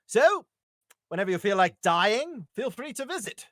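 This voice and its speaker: A woman's voice: medium pitch, sing-song, moderate pace, a bit bright, no echo, studio quality. A woman playing a cocky, defiant adventurer hero in a live table read.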